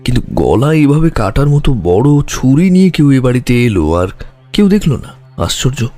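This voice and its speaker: A man playing a calm, observant detective in an audio drama, questioning in a measured, deliberate way. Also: medium pitch, soft background music, natural voice. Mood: analytical, grave. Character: calm, probing male detective, sharply observant.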